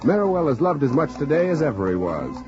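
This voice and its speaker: A man's voice: fairly high pitch, very animated, quick pace. Old 40s radio announcer guy, big swooping dramatic delivery, music behind, muffled. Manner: dramatic, declamatory. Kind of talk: 1940s radio announcing, scripted narration. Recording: muffled vintage 1940s radio recording.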